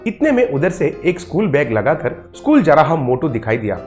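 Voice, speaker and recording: Male storyteller for cartoon children's tales, scripted narration, very lively intonation, music underneath. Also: a little high, moderate pace.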